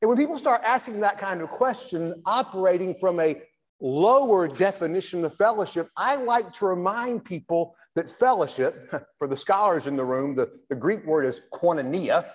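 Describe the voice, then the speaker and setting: A man in his sixties with a notably high voice speaks from a lectern in a large, reverberant church hall. He lectures like a pastor, phrasing deliberately and leaning on key words with pauses. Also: expressive. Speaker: Baptist pastor, guest lecturer. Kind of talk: church lecture with preacherly delivery.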